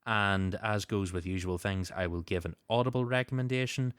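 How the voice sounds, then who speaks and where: A man's voice: lowish voice, steady tone, normal speed, a bit bright, clear, resonant tone, no echo, clean studio sound. A man hosting a podcast, moving between show announcements and casual chat. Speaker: podcast host.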